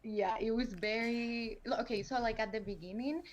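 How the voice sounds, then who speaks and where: A young woman from El Salvador, a podcast guest telling her story in a relaxed way full of "like" and "um". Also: a little high, normal speed, slight background noise, rough recording. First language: Spanish. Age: young adult. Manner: relaxed, many fillers like "like" and "um". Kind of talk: casual conversational storytelling. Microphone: video call.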